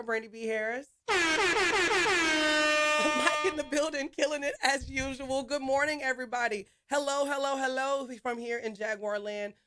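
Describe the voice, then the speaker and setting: A woman hosts a sports talk show on her own and chats easily with her listeners, calling them y'all. Her voice is smooth and clear despite a slight hoarseness. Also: on the high side, fairly bright tone. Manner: chatty, addresses listeners as y'all. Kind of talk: casual solo show talk.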